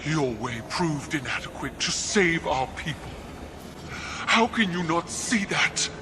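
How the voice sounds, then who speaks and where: A woman voicing a proud, stern alien warrior empress in a video game, low for a woman, measured, formal and defiant. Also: big pitch swings, heavily acted. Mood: defiant, disillusioned. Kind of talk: dramatic video game voice acting. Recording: noisy game soundtrack behind.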